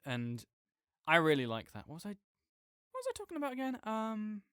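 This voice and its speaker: A man's voice: high voice, huge pitch swings, moderate pace, fairly bright tone, smooth, dry recording, clean studio sound, exaggerated voice. A man playing a chatty, nerdy roommate who rambles enthusiastically about a video game in a casual, digressive audio roleplay monologue. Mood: earnest, rambling.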